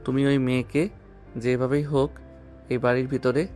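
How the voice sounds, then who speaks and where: A man's voice: medium pitch, level tone, normal speed, darkish tone, faint music behind, close to an everyday voice. A man playing a frightened, put-upon young man in an audio love-story drama, complaining and pleading. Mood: aggrieved, pleading.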